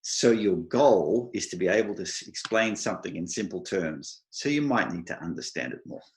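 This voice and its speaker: A middle-aged Australian man giving an advice talk to an online meetup, speaking slowly and clearly with dry humour. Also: low-pitched, expressive, echoey room. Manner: slow, deliberate, clear delivery for non-native listeners.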